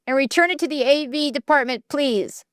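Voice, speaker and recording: A wounded schoolteacher whose voice shakes and nearly chokes on her words, as a woman reads her out. She speaks high above a plain reading voice. The audiobook sound is dry and close. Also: fairly quick, a bit bright, no echo. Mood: hurt, shaky. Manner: trembling, near tears. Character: hurt, shaken middle-aged female schoolteacher. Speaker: teacher.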